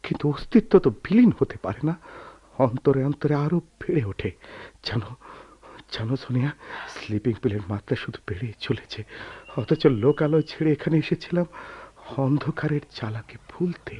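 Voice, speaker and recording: A man's voice: medium pitch, lively intonation, moderate pace, husky. An actor playing a lonely, wounded man who flees others' pity, with melancholy, poetic, theatrical delivery in a radio drama. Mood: brooding, bitter. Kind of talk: Bengali radio drama acting. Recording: dull, lo-fi, noisy old radio recording.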